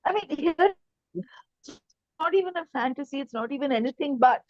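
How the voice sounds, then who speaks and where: High-voiced Indian woman, dull close audio. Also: lively intonation, normal speed, darkish tone, no room sound. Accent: Indian English. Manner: reflective, mixes in Hindi phrases. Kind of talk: casual advice discussion.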